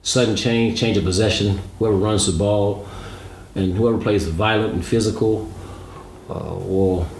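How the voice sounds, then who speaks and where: Low-voiced man giving sports takes, echoey. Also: steady tone, measured pace, a little mellow. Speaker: sports show co-host. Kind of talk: sports analysis talk. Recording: roomy echo, background noise.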